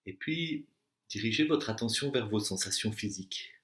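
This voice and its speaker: A man with a fairly low voice leads a guided relaxation as a meditation teacher. He gives his instructions slowly and deliberately, leaving long pauses between phrases. The recording has a clearly echoey room sound. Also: animated, a bit bright. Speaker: yoga nidra and meditation teacher.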